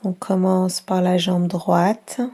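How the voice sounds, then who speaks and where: Female relaxation guide for kids, very slow and mellow, soft delivery with long pauses. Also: fairly low pitch, monotone. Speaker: yoga nidra guide.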